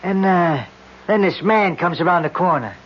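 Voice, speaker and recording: An actor playing a plain-spoken working-class street eyewitness in a radio drama, talking in a halting, rambling, colloquial way. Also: male voice, high-pitched, lively intonation. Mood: earnest, halting. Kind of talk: 1970s radio drama acting. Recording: dull old radio broadcast recording.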